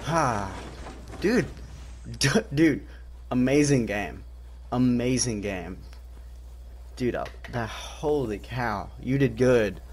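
A man's voice: mid-range voice, slow pace, long pauses, darkish tone, a little hiss or hum. A young man giving casual, slangy gameplay commentary as a streamer, talking in short muttered bursts. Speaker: game streamer.

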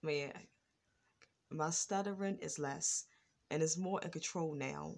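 A woman's voice: low-pitched, slow, vocal fry. A woman vlogger talking casually to her phone camera, stuttering and restarting her words. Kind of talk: casual reaction vlog. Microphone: phone front camera.

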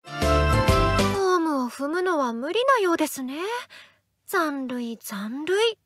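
A woman voicing a calm young swordswoman in an anime-style game, sweetly polite with a sly, needling edge. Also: high-pitched, takes it slowly, no room sound, studio quality, faint music behind, full character voice. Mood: sweetly teasing. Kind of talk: anime-style mobile game character lines.

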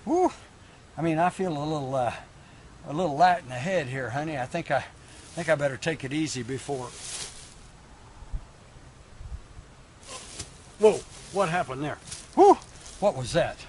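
A folksy frontier cowboy voiced by a man talks in a homespun drawl. His voice sits a little high for a man. A faint hiss runs underneath it. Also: lively intonation, brisk, darkish tone, close to an everyday voice. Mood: awed. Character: folksy frontier cowboy, new father, flustered. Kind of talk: one-man stage monologue.

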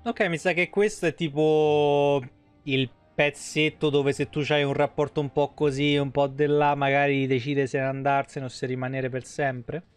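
A man's voice: on the high side, level tone, moderate pace, clear, resonant tone, no echo, faint music behind. A man, giving casual, colloquial, joking live game commentary. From Italy. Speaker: game streamer. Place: small indoor streaming room.

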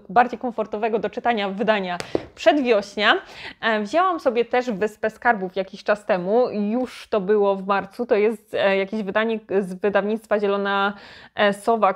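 A woman chatting casually to her viewers as a book vlogger, informal and thinking aloud. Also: mid-range voice.

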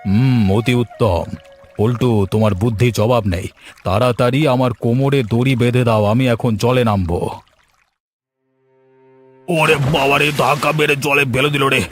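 A man's voice: mid-range voice, normal speed, pauses often, close to an everyday voice. A man playing a gluttonous, shameless freeloading relative in a cartoon story, with a wheedling, demanding manner. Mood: eager. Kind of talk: Bengali cartoon story voice acting. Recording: music behind the voice.

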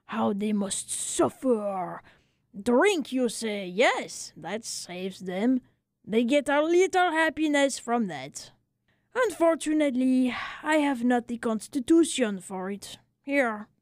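A woman voicing a small old Frenchman, a weary, talkative barber of wry philosophy, exclaiming and lamenting in drawn-out phrases for a storybook reading. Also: fairly high pitch, no room sound, studio quality. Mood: weary philosophizing.

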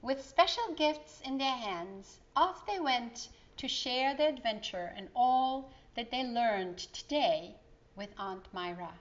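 A middle-aged woman reading a children's storybook aloud in an expressive, emphatic way, with explanatory asides to young listeners. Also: a little high, slow, highs cut off. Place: indoor living room.

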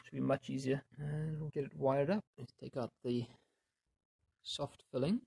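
A man giving casual hands-on DIY commentary, muttering and thinking aloud as he works, with a gravelly, slightly husky voice. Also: mid-range voice, fairly even intonation, normal speed, warm tone. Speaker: DIY video maker. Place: indoors in a home room.

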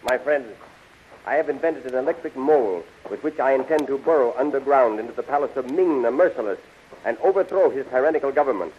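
A man plays an authoritative rebel leader in an old radio adventure. He declaims formally at a medium pitch, through a dull recording with light hiss. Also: brisk, close to an everyday voice. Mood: resolute. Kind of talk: 1930s radio serial acting. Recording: muffled, hissy 1930s radio recording.

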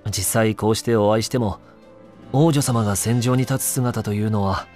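An actor playing a courteous, inquisitive newspaper reporter in a Japanese video game, a man with a measured way of talking. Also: fairly low pitch, darkish tone, faint music behind, close to an everyday voice. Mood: courteous, probing. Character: courteous, probing young newspaper reporter interviewing royalty.

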